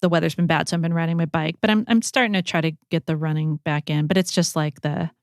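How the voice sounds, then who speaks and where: Woman, lowish voice, podcast host, casual chat, close dry sound. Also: steady tone, fast talker, no echo.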